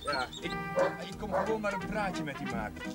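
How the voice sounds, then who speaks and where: An actor playing a polite, hesitant new village curate in a TV period drama. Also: male voice, high-pitched, expressive, moderate pace, over loud music. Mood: tentative. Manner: hesitant, courteous.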